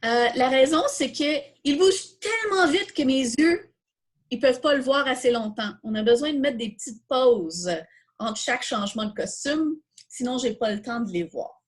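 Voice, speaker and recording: A woman's voice: sits high, expressive, moderate pace, breathy edge. A woman with a Quebec French accent leading an informal step-by-step coding tutorial, thinking aloud and posing questions that she then answers herself. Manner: self-questioning, explanatory. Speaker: coding workshop instructor.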